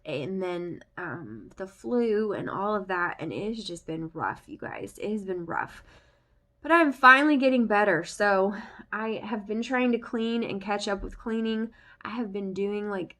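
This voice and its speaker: A woman's voice: on the low side, fairly bright tone. A young woman vlogging casually, rambling with lots of fillers, her voice still congested from the flu. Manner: chatty, rambling with many fillers. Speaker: family vlogger. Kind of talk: casual chat to camera.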